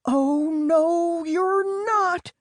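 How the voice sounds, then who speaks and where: A man plays a heroic teenage big sister in a children's storybook, pitched well up from his narration. She calls out commands boldly and matter-of-factly, with a dry, close sound. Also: very slow, no echo, exaggerated voice. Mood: urgent, commanding. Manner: commanding, shouted delivery. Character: brave big sister, teenage girl, bossy and matter-of-fact.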